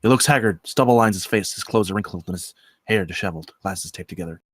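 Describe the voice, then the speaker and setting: A man's voice: deep voice, fast, fairly bright tone, husky, no echo. A man reading stage directions as scripted narration at a comedy screenplay table read.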